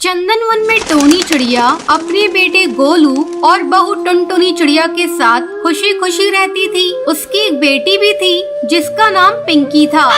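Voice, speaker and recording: Very high-pitched woman storyteller. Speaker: narrator. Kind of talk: scripted children's story narration.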